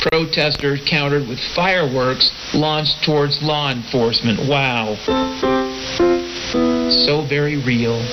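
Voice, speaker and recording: An American man hosting a political radio show in an opinionated, sardonic manner, reading and commenting on news. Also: fairly high pitch. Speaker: podcast and shortwave show host. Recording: hissy, noisy shortwave radio reception. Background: loud static hiss.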